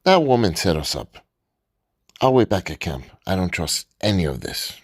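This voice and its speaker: A man's voice: low-pitched, sing-song, moderate pace, dry recording, clean studio sound. A man playing a distrustful male expedition scholar in a radio drama, speaking in a clipped, suspicious way.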